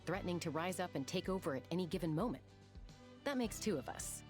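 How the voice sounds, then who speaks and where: A woman's voice: lowish voice, expressive, fast, natural voice. An actress playing a blunt, loyal, defiant young woman warrior in a video game, firm and direct. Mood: resolute. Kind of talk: scripted game dialogue. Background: game music.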